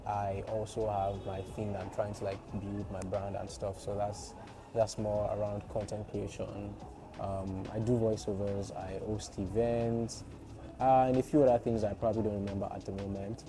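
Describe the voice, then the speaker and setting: A man with a soft voice, chatting playfully as a guest about his work. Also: on the low side, flat delivery, normal speed, noisy background. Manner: playful, joking storyteller. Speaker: guest content creator. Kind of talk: casual interview chat. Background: background music.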